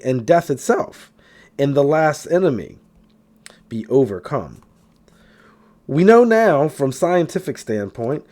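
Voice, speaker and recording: A man's voice: medium pitch, darkish tone. A man reading a spiritual self-help book aloud in an emphatic manner, occasionally stumbling over a word. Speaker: audiobook reader.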